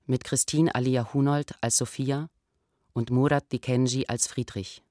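A man's voice: mid-range voice, fairly even intonation, a little slow, darkish tone, no room sound, clean studio sound. A man reading scripted radio credits as an announcer.

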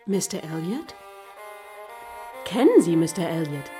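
A woman acting a gentle, thoughtful gentlewoman in her twenties in a German radio play, earnest and restrained. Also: lowish voice, big pitch swings, unhurried, soft background music. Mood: polite surprise.

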